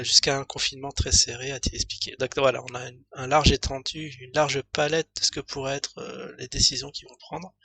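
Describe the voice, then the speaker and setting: Male streamer with a touch of a cold, fry-heavy, breathy tone, musing aloud over an article. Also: mid-range voice, fairly bright tone, no room sound. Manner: rambling, thinks aloud with many fillers and asides. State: slight head cold, throat going. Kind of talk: casual news commentary, reading an article aloud.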